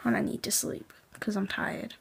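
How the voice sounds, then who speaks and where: A teenage girl from England, raspy and hoarse, chatting quietly in a late-night webcam vlog in her room, half-whispering so as not to wake the house. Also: medium pitch, flat delivery, moderate pace. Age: teens. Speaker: vlogger. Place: bedroom at night, indoors. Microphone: laptop webcam.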